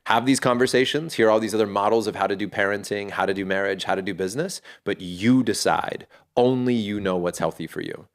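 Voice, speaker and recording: Middle-aged male guest, very low voice, earnest, thinks aloud with restarts. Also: brisk, hardly any pauses. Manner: earnest and reflective, with frequent restarts. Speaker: podcast guest, fatherhood community founder. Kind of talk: casual interview talk.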